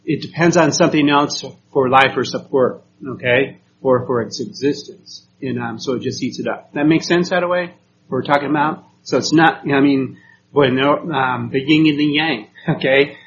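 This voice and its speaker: A man born in Puerto Rico teaching an informal class, talking haltingly with many ums and often checking with "okay?". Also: mid-range voice, highs cut off, slight background noise.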